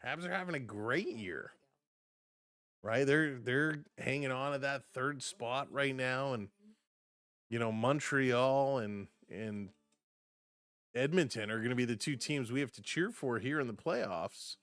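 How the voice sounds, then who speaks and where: Guy calling hockey live on his stream, brisk and chatty, voice going a bit hoarse, dry close sound. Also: medium pitch, a bit bright, no echo. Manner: brisk play-by-play, chatty asides to viewers. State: voice getting hoarse. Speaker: fan stream commentator. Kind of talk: live play-by-play and chat reactions.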